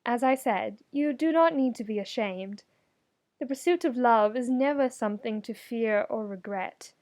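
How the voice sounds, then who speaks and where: A woman acts out an earnest young woman in an audiobook story, high in pitch and formal in phrasing. She sounds measured, kindly and utterly sincere as she counsels. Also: darkish tone, lightly acted. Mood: sincere, compassionate.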